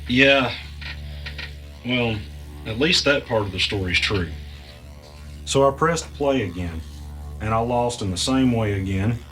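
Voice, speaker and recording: A man acting as the weary narrator of a mystery audio drama, recounting an arcade ordeal in a measured, halting way. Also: medium pitch, lively intonation, fairly bright tone, faint music behind. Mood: queasy, determined. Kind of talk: first-person audio drama narration with dialogue.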